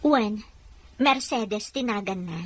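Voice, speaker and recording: A woman playing a kindly married woman taking in a baby, expressive and questioning, in an Ilocano audio drama. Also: mid-range voice, slow, slight background noise, natural voice. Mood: warm, assuring.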